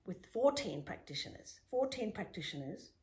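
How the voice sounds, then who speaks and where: A middle-aged woman whose mother tongue is Greek, telling reflective stories in an interview with emphatic rises and soft whispered asides. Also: medium pitch, very animated, moderate pace. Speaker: guest, spiritual healer.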